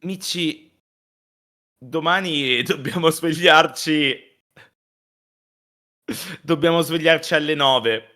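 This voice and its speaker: A man chatting casually as co-host of a stream, telling teasing anecdotes in an animated way and mimicking quoted lines. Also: a little high, takes it slowly, pauses often.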